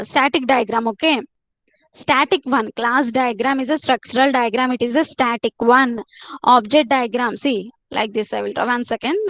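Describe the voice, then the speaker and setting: High-voiced woman teacher coaxing online students. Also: a bit bright, no echo, poor audio. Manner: repetitive, coaxing students to answer. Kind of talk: live online class lecture.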